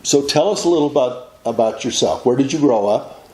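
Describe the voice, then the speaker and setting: A man hosting an interview, courteous and measured, prompting his guest with questions. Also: medium pitch, expressive, warm tone, echoey room, low-quality recording. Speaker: interview host. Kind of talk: casual interview.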